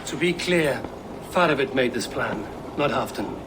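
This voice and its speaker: An actor playing a bold, blunt Viking warrior in a video game cutscene, terse, plain-spoken and steady. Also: male voice, mid-range voice, unhurried, lightly acted. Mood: businesslike. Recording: clearly noisy ambient background.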